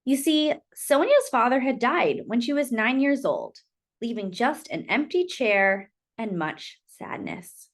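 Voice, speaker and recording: Lady doing kids storytime, highish voice, really expressive, pitch goes all over. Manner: animated, deliberate storytelling with dramatic emphasis. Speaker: children's storyteller reading aloud. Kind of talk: expressive read-aloud of a children's picture book.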